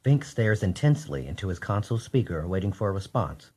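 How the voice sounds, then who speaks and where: A man's voice: sits low, very animated, brisk, mellow, slightly rough. A man narrating a scripted radio drama in a theatrical storytelling manner.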